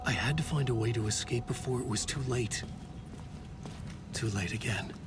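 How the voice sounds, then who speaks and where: An actor playing a haunted, brooding writer, delivering terse, serious hard-boiled narration in a video game. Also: male voice, medium pitch, moderate pace, close to an everyday voice. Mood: weary, haunted. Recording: music behind the voice.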